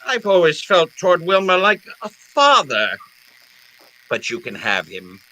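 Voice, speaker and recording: A falsely jovial, polite villain of old-time radio drama, played by a man. His voice is very bright and fairly high, and it swings widely as he delivers florid, deliberate courtesies. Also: huge pitch swings, heavily acted, rough recording. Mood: matter-of-fact. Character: genteel, wily older criminal mastermind, falsely jovial and polite.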